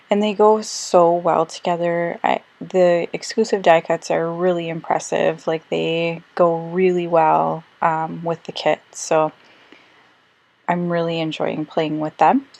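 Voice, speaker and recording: A woman who is slightly hoarse and losing her voice, chatting casually through a crafting voice-over with lots of ums and little self-corrections. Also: fairly low pitch, darkish tone. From Australia. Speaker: scrapbooking crafter. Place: quiet room, recorded voice-over.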